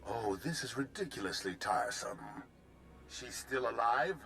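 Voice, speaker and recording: An actor playing a cold, condescending military superior in a video game, wearily disdainful. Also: male voice, mid-range voice, sing-song, moderate pace, pushed and bright, soft background music. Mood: bored, scornful. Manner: weary, condescending.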